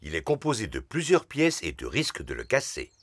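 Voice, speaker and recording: A man's voice: on the low side, wildly swooping pitch, a little fast, background noise. A man playing an easygoing, genial middle-aged father pig in a children's cartoon. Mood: gentle. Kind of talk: children's cartoon dialogue, dubbed.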